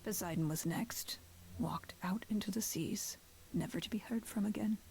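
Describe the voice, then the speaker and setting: A woman playing a stern, weary goddess who leads the gods in a video game, speaking in a measured, formal way. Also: mid-range voice, creaky, a little mellow, lightly acted. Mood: solemn, urgent.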